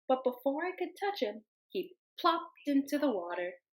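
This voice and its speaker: A young woman teacher reads a picture book aloud for her class, gentle and unhurried. Her voice sits a little high. When the child in the story calls to the animals, she lifts into a bright sing-song. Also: big pitch swings.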